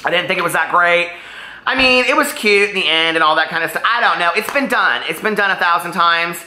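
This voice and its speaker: A man's voice: high-pitched, brisk, pushed and bright, reverberant. A man talking casually in a book vlog, chatty, gushing and emphatic, wandering off on tangents. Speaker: book vlogger.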